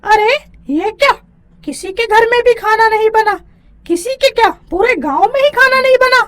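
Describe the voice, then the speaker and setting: A woman voicing a hungry, greedy witch in an animated children's story, exclaiming and gloating as she schemes. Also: extremely high pitch, normal speed. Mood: hungry, curious.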